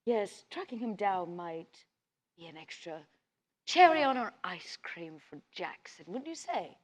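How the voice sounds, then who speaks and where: Playful female vampire, acted by a woman, medium pitch with lively swings, tabletop roleplay. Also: a little slow. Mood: coaxing. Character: playful, teasing female vampire crew member.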